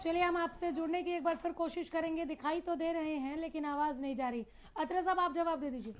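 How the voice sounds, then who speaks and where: A very high-voiced woman hosts a live news debate, steering her panel as anchor. The broadcast audio sounds somewhat dull and lo-fi. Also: steady tone, fairly quick, a little hiss or hum.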